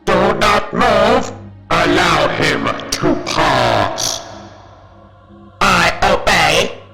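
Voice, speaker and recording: A man's voice: high voice, lively intonation, very slow, gravelly, full character voice. A man voicing an imperious alien war machine in a sci-fi fan audio drama, barking in staccato through a robotic effect. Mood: furious. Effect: robotic ring-modulated voice. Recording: echoing, loud music behind. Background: loud dramatic music.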